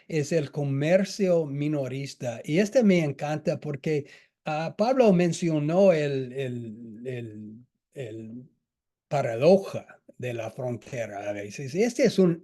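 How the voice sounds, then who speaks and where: Slow, halting lecturer with a mellow voice. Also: male voice, a little high. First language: not Spanish. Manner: halting, many restarts and fillers. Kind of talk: academic lecture. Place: lecture hall.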